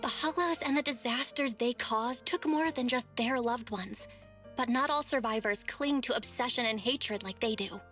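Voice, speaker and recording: A woman's voice: on the high side, moderate pace, a bit bright, faint music behind, lightly acted. An actress playing a supportive, steadfast young woman in a video game, earnest and reassuring. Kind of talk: dramatic video game voice acting.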